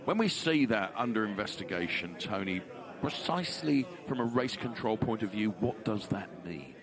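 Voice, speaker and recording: A man giving live race commentary, pausing often on "uh" and rising with excitement at big moments. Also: lowish voice, crowd noise. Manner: frequent "uh" fillers, excited rises.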